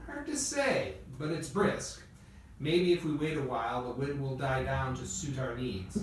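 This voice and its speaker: A man's voice: medium pitch, animated, unhurried, lightly acted. A man playing a confident, wry inventor in an amateur radio play. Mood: wry, assured. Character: Wilbur Wright, confident, wry adult male inventor. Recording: echoey room, distant mic, noisy background.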